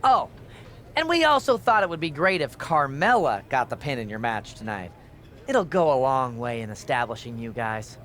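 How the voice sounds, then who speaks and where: Pushy, smug wrestling official. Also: female voice, extremely low pitch, very animated. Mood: glib, pushy. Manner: brisk, glib, condescending. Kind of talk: video game cutscene acting.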